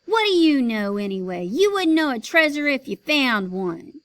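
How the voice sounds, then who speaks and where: A woman reading aloud, voicing an angry stage mother who yells to defend her child. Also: high voice, sing-song, normal speed, dry recording, voice pushed up. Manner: yelling, indignant.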